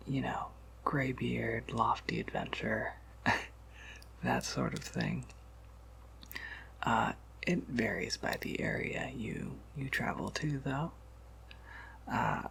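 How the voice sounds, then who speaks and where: Man as a whispering, gravelly, gentle vampire shopkeeper. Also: mid-range voice, full character voice. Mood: soft, amiable, then quietly confiding. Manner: hesitant, unhurried, softly chuckling asides. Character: ancient, gently courteous male vampire apothecary keeper. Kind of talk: ASMR roleplay, close whispering.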